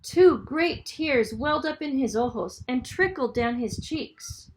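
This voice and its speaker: High-pitched, animated woman reading a storybook. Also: measured pace, slight background noise, poor audio. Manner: dramatic, expressive storytelling. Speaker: storybook reader, school superintendent. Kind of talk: children's bilingual story read-aloud.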